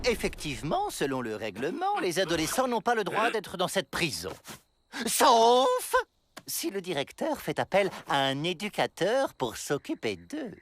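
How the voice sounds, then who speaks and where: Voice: mid-range voice, huge pitch swings, a little slow, very noisy. An actor playing a fussy, flustered old prison director in a cartoon, pompous and whiny. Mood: flustered, officious. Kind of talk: animated cartoon acting.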